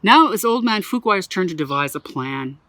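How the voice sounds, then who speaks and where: A woman reading a picture book aloud to children as a museum storyteller, animated and chatty, with little asides. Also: fairly low pitch, normal speed, dry recording.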